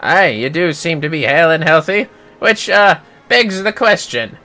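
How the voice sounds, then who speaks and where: A streamer voicing a gruff, wry male informant from a video game, with rough colloquial talk. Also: mid-range voice, animated, moderate pace, sharp tone. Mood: wry, guarded.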